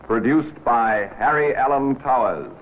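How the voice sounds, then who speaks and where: A man reading credits as a vintage radio announcer, formal and measured. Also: mid-range voice, expressive, a little hiss or hum. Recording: muffled old radio recording over music.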